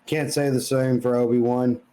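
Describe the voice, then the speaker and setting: A man's voice: medium pitch, level tone, moderate pace, dark tone, clean tone. A man co-hosting a podcast, giving blunt opinions in casual, slangy, sweary talk. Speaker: podcast co-host.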